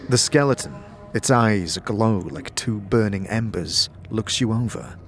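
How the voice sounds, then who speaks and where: A man narrating a scripted fantasy audio drama in a measured, descriptive storytelling manner. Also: fairly low pitch, fairly bright tone.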